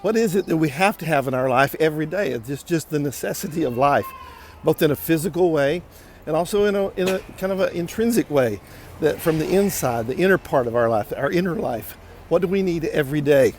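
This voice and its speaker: Higher-voiced man in noisy outdoor walk-and-talk. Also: expressive, darkish tone. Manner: deliberate, repeats phrases for emphasis. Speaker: vlog host, motivational speaker. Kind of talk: casual motivational walk-and-talk monologue. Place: outdoors, walking on city streets. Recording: clear outdoor street noise.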